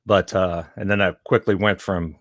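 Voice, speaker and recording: A man with a Southern US accent co-hosting a podcast, talking in an animated, teasing way, swearing freely and laughing loudly. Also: low-pitched, normal speed, no echo, clean studio sound. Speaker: podcast co-host. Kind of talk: casual chat.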